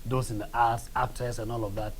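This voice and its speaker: A young man from northern Ghana with a Ghanaian accent, a documentary filmmaker answering interview questions in a measured, hesitant way full of fillers. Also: medium pitch, flat delivery, slight background noise. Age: young adult. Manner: measured, hesitant, many fillers and a soft laugh. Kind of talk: interview conversation.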